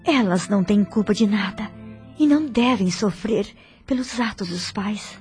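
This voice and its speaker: An actress playing a dignified, forgiving wife and mother in a radio drama, earnest and formal in a measured way. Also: medium pitch, darkish tone, faint music behind, natural voice. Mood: tender resolve.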